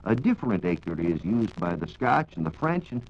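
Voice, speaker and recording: A man's voice: deep voice, big pitch swings, normal speed, darkish tone, slight background noise. An American man working as a science reporter on 1940s radio, giving precise, formal scripted explanations. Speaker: science reporter answering listener questions. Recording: dull lo-fi 1940s radio recording.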